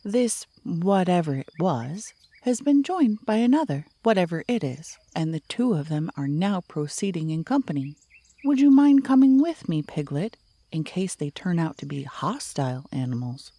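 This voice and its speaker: A woman playing a slow-witted, earnest male toy bear in a storybook, musing in a deliberate, puzzled way. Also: on the low side, very animated, mellow, no room sound.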